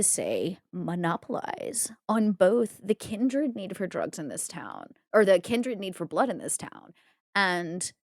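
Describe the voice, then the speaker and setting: A woman acting out a spoiled young party-girl vampire for a roleplay podcast, on the lower side in pitch and very lively in melody. She talks in airy, filler-laden valley-girl phrases with total self-assurance, recorded close and dry. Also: dry recording, heavily acted. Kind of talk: improvised tabletop roleplay.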